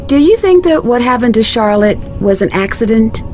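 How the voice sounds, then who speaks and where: A woman playing an inquisitive, earnest young detective in a video game, speaking politely and clearly. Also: on the high side, expressive, normal speed, darkish tone, faint music behind, close to an everyday voice. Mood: inquiring.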